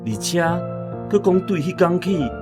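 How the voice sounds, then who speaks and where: A man's voice: sits high, moderate pace, music in the background, natural voice. An actor playing a bemused man recalling his puzzled boyhood in a radio drama, conversational, with a Taiwanese accent.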